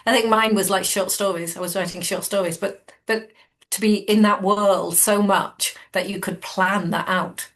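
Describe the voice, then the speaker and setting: A woman's voice: medium pitch, fairly even intonation, moderate pace. Encouraging woman co-host. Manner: warmly encouraging, with repeated words. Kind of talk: casual conversation.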